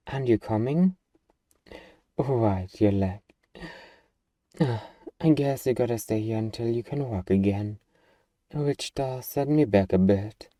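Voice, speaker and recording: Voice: medium pitch, dark tone, no room sound, clean studio sound, recorded from a distance, lightly acted. A performer playing a hardened, sardonic, swaggering killer who survives a zombie apocalypse, in an ASMR audio roleplay. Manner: sarcastic, casual, swaggering.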